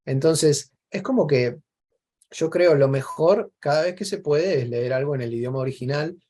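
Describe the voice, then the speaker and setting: A man's voice: a little high, normal speed, warm tone. A man with an Argentine accent in casual, reflective conversation as an interview guest, explaining things discursively with examples.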